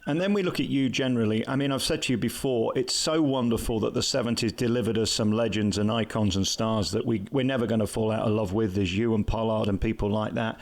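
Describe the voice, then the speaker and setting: A man with an English accent interviewing in a fluent, chatty and flattering way. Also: fairly low pitch, fast, hardly any pauses. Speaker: entertainment interviewer.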